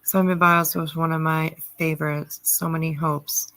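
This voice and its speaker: A middle-aged woman hosting a livestream, chatting casually and answering viewer comments in a halting way with frequent pauses. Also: sits low, level tone, bright, forceful tone, dry recording. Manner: halting, pausing often mid-sentence. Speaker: livestream host.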